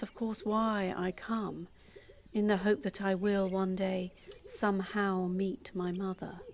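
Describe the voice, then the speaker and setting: A woman acting an intimate audio-play monologue as a calm, obsessive, secretly dangerous woman, confiding in a measured, often hushed and breathy way. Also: medium pitch, a little hiss or hum, lightly acted. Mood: calm, eerily reassuring. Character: calm, obsessive, delusional woman abandoned as a baby, secretly murderous. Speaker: actor in a monologue.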